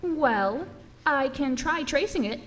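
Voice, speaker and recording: A formal chief phone operator in an old radio play, highish voice, very up and down. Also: female voice, expressive. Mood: formal, measured. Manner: formal, deliberate, questioning. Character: officious, measured female chief telephone operator. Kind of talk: old-time radio drama acting.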